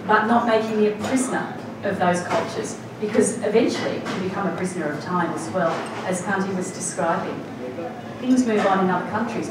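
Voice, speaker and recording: A woman's voice: mid-range voice, background noise. A woman giving a reflective personal talk at a public forum, with frequent ums and restarts. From Australia. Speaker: forum speaker. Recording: echoey hall recorded from a distance.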